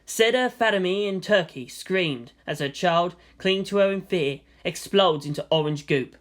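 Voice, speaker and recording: Dramatic mid-pitched story narrator. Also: normal speed. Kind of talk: dramatic scripted fan fiction narration.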